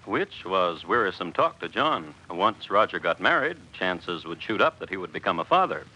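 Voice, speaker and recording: Low, animated, wry male radio narrator. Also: normal speed, husky, low-quality recording. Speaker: host narrator. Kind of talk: scripted 1950s radio crime narration.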